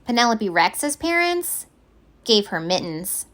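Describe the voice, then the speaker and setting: Expressive, slow-paced woman reading a storybook. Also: medium pitch. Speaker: story reader. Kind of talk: children's picture book read-aloud.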